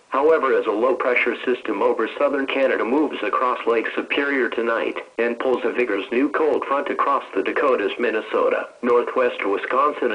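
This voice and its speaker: A computer-generated man's voice reads out a weather bulletin over the radio. The delivery is formal and stilted, with machine-like phrasing at a medium pitch. The sound is dull and band-limited, like a weather radio broadcast. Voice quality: synthetic text-to-speech voice. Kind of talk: scripted weather forecast reading.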